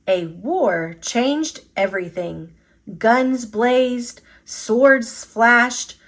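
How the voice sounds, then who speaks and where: A woman reading a children's picture book aloud as a storyteller, with an expressive, deliberate delivery. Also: fairly high pitch. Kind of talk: scripted narration, children's read-aloud.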